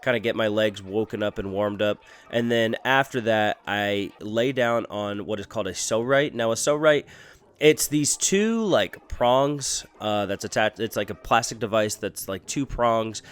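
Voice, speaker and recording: A man's voice: lowish voice, measured pace, clean tone. A young man vlogging casually with a stuffy, congested nose, upbeat and rambling with fillers. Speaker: fitness vlogger and trainer. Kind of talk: casual vlog and voice-over narration. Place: in a car.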